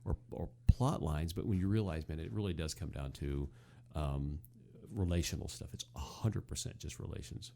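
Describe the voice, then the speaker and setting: A man with a deep voice and a soft, relaxed tone hosts a casual discussion. He rambles along with enthusiasm, like a fan chatting about old television. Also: normal speed, vocal fry, slight background noise. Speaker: podcast host. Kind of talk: casual chat, commentary on classic TV comedy.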